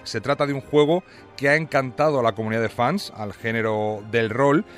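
A man's voice: medium pitch, brisk. A man with an accent from Spain reading scripted technology news as a radio reporter. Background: music.

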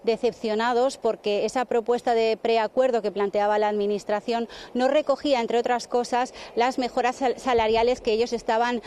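A woman reporting live as a news correspondent, giving a steady, informative update. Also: on the high side, moderate pace, hardly any pauses, slight background noise.

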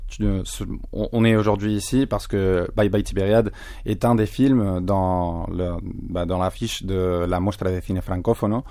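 A man from Spain, a French speaker, interviewing a filmmaker with measured questions and frequent hesitations. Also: on the low side, mellow, dry recording, studio quality. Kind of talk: festival interview.

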